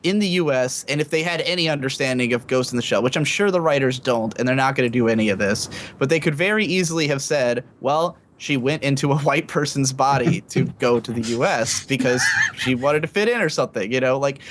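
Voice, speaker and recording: Male podcast panelist, mid-pitched, opinionated and rambling, blunt language, slightly noisy rumbling recording. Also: brisk, few pauses. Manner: rambling, blunt, frequent swearing. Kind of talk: casual opinionated podcast discussion. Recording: clearly noisy mic with low rumble.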